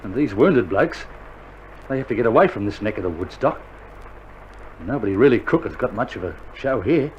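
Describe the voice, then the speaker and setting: An actor playing an eager, loyal wounded Australian soldier in a radio drama, talking in an earnest, colloquial way. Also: male voice, lowish voice, lively intonation, moderate pace, long pauses, muffled recording, natural voice. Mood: concerned. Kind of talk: recorded radio drama acting. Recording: vintage vinyl recording, faint noise.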